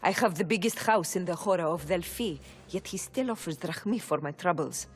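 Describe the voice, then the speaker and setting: An actress playing a frightened oracle in a video game, urgent and pleading. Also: female voice, fairly low pitch, a little fast, slight background noise, close to an everyday voice. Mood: confiding. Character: Pythia, frightened woman oracle under threat.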